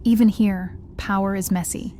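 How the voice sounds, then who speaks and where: A woman narrates as a young vampire in a fantasy roleplay, brooding and introspective. Her voice is mellow and very even, with faint ambience behind it. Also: medium pitch, moderate pace, slight background noise, natural voice. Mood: solemn, brooding. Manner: solemn, introspective. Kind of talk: scripted first-person roleplay narration.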